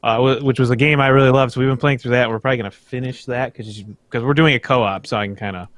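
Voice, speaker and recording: A man's voice: medium pitch, steady tone, fairly bright tone, no echo. A young man chats on a livestream, rambling and stopping often to restart his thoughts. His voice sits in the middle of the range and sounds close and dry, with no room around it. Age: young adult. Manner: rambling, halting with fillers. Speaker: livestream co-host. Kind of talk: casual chat.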